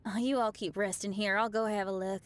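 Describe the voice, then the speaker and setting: A woman's voice: mid-range voice, steady tone, fairly quick, close to an everyday voice. A woman voicing a frank, spirited young female musician in a video game. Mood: startled.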